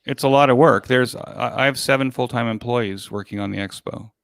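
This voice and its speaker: An older man speaking casually as an interview guest, in a halting way with repeated words, describing his work as an organizer. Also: medium pitch, gravelly, a bit bright, smooth, no echo, clean studio sound. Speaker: guest, virtual expo organizer.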